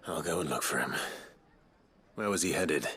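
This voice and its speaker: Deep, stoic young warrior. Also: male voice, animated, normal speed, a bit bright, breathy edge, heavily acted. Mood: businesslike, steady. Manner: terse, businesslike, restrained. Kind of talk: dramatic video game acting. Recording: music behind the voice.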